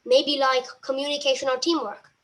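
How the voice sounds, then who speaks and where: A polite young woman with a very high voice leads an interview. She reads her prepared questions and says thank you again and again. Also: flat delivery, normal speed, a bit bright.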